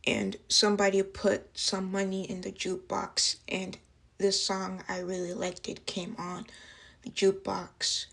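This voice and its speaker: A woman playing a traumatized young waitress in a dramatic monologue, speaking haltingly in broken phrases. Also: medium pitch, monotone, vocal fry, a bit bright, slightly rough, heavily acted. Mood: uneasy, halting. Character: traumatized young working-class waitress recounting an assault. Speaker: actress performing a film monologue.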